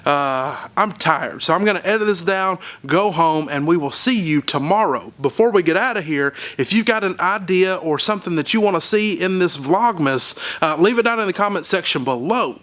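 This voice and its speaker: A man with a fairly high-pitched voice talks through his sound setup in a loose how-to vlog. He sounds congested and stuffed up, and he rambles with plenty of uh and um fillers. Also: lively intonation, normal speed, few pauses, fairly bright tone. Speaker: DJ and vlogger. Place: at an event venue beside a PA speaker.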